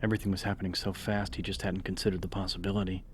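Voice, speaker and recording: A man's voice: sits low, level tone, rapid-fire, a little hiss or hum. A man narrating horror fiction in a dramatic, scripted audiobook style.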